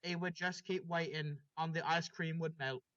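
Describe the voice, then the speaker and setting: A man's voice: a little high, steady tone, moderate pace, fairly bright tone, soft background music. A man reading a story aloud to friends in a casual way, over a dull lo-fi voice chat. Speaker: story reader.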